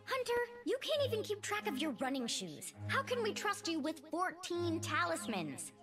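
An actress voicing a spirited young female fawn in a video game, brisk and take-charge. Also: very high-pitched, sing-song. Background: game music.

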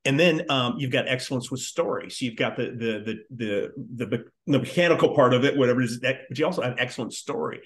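A man's voice: medium pitch, fast talker, hardly any pauses, fairly bright tone. A man in his fifties hosting a casual interview in an effusive, earnest way, often repeating words. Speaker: podcast host, interviewer. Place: living room at home, on a video call.

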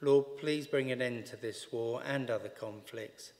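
A man in his sixties leading intercessory prayers from a lectern, earnest and measured as he reads. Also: mid-range voice, a little mellow. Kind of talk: read-aloud intercessory prayer. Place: large church interior, at a wooden lectern. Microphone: lectern microphone on a stand. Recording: long church reverb.

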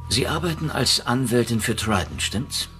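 A man's voice: mid-range voice, animated, normal speed, fairly bright tone, soft background music, natural voice. A man playing a polite but insistent FBI agent in a radio thriller, probing and businesslike.

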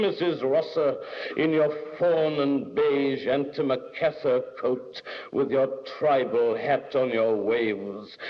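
A man's voice: mid-range voice, lo-fi sound. Storybook role: bitter, self-dramatising young man acted by a male reader, lyrical scorn, swooping intonation. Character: brooding, bitter young man thinking to himself, theatrically scornful.

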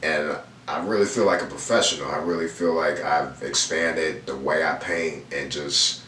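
A man's voice: a bass voice, steady tone, normal speed, bright, forceful tone, far from the mic. A man in his thirties, raised in Houston, talking in a laid-back, slangy way as a guest painter in an interview. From raised in Houston, Texas. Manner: laid-back slangy talk with lots of fillers. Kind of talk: casual interview chat. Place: indoor room, seated on a leather couch. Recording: roomy echo, voice a bit off mic, faint room noise.

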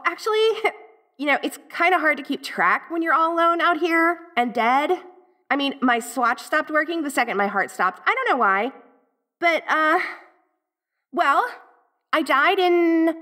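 A woman's voice: sits high, bright, forceful tone, exaggerated voice. A woman playing a dorky, bratty 1980s American Valley girl ghost in a roleplay audio drama, snarky and easily flustered with theatrical slang. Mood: awkward, chatty.

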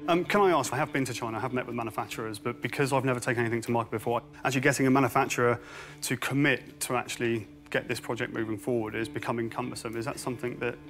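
A man in his thirties pitching a business, nervous and stumbling over his words in a large studio. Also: steady tone, very fast, a bit bright. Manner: nervous, stumbling, many fillers and restarts. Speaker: entrepreneur pitching. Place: large dim studio with brick walls. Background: background music.

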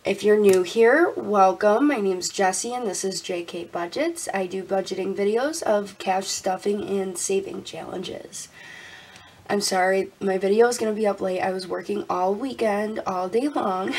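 A young woman vlogging casually at her desk. She sounds congested and a little raspy, laughs often and counts money out loud. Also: mid-range voice, a little slow, poor audio. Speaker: budgeting vlogger. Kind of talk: casual talk while counting cash. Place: indoors at a desk.

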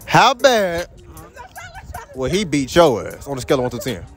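A young man hosting a casual public interview at a school, joking loudly in profane slang, outdoors on a phone mic. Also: medium pitch, huge pitch swings, moderate pace, faint music behind. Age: young adult. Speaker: street interviewer.

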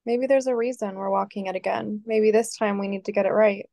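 A woman's voice: medium pitch, steady tone, a little fast, mellow, no room sound, natural voice. Woman reading earnest yearning lover, dry medium voice. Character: earnest, yearning actress in forbidden love. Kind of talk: screenplay table reading. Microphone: video call.

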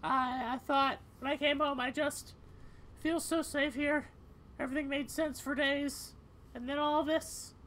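A woman voicing a troubled, frightened young female cat in video game dialogue, speaking haltingly. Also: sits high, fairly even intonation, a bit bright, a little hiss or hum, pitched above the performer's own voice. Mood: anxious, confessional.

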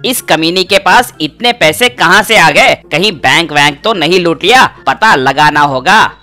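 A man voicing a sly, greedy, bullying village schemer in an animated storybook tale. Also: a little high, unhurried, soft background music. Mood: envious, scheming.

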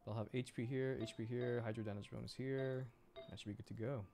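A man's voice: mid-range voice, fairly even intonation, a little fast, a little mellow, a little hiss or hum. A man chatting casually on a game livestream, muttering and thinking aloud to himself as he plays. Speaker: VTuber game streamer. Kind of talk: casual livestream gameplay chat.

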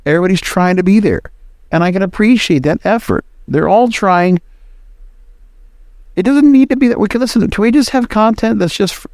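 A man's voice: on the high side, darkish tone, dry recording, clean studio sound. A man hosting a podcast, giving informal, opinionated commentary on his own in a conversational, emphatic way. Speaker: podcast host.

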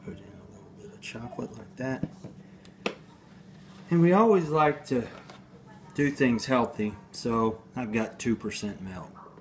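Slow, deadpan man in a home kitchen. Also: mid-range voice, noisy background. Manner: slow, rambling, deadpan, with hesitations. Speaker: home cooking video host. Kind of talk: casual home cooking demo. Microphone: phone camera on the counter.

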